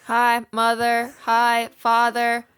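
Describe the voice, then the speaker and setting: A woman's voice: a little high, monotone, slow, a bit bright, smooth, faint music behind. A woman playing a polite, cheerful young robot son in a comedic table read. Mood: cheerfully polite.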